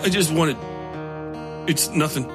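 A worn-out, grumpy workhand from a dark audio story, played by a male narrator. His talk is blunt and mumbly, with country phrasing. Music runs under the voice. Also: a little high, a little slow, pitched above the performer's own voice, lightly acted. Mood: cowed. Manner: blunt, mumbling, rural speech. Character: tired, grumpy male laborer, easily cowed follower.

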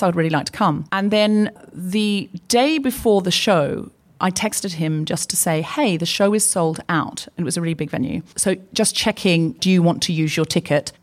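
A woman co-hosting a live comedy podcast on stage, chatty and anecdotal, acting out both sides of the conversations she retells. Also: fairly low pitch. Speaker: podcast co-host. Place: live stage before an audience.

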